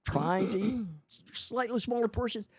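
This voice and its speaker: A man's voice: high-pitched, moderate pace, darkish tone, breathy edge, no room sound. A man in his eighties, a guest in a casual interview, talking with many restarts and chuckling often. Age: 80s.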